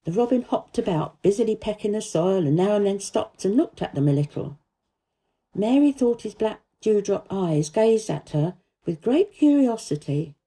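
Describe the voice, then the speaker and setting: Mellow, expressive woman storyteller. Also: medium pitch, moderate pace. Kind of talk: scripted children's audiobook narration.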